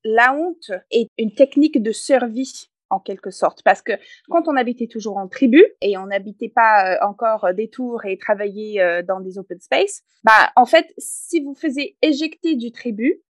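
A woman's voice: on the high side, normal speed, no echo. A woman from English-speaking Canada with an English Canadian accent, speaking as a guest author in a podcast interview, direct and pragmatic with hesitant fillers.

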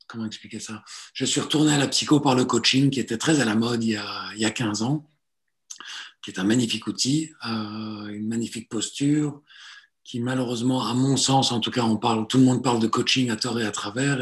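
A man in his forties, a psychotherapist and coach, telling his life story in a relaxed interview, hesitant with many fillers and restarts. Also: medium pitch, brisk. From Italy. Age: 40s.

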